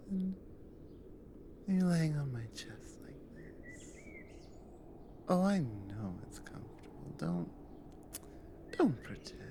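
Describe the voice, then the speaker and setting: A woman voicing a tender, teasing, dominant giant Nord barbarian wife in an intimate ASMR roleplay, in a slow, breathy murmur with soft hums. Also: very deep voice, slight background noise, full character voice.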